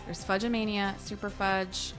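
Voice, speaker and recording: A woman co-hosting a podcast in casual banter, talking in an animated, giggly, expressive way. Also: medium pitch, moderate pace, over music, low-quality recording. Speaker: podcast co-host. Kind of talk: casual banter between co-hosts.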